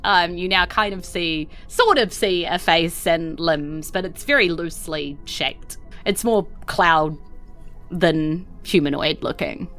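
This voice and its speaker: A woman's voice: on the low side, slow, fairly bright tone, faint music behind. A woman with a New Zealand accent improvising casual, conversational narration as a tabletop game master. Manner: informal, conversational.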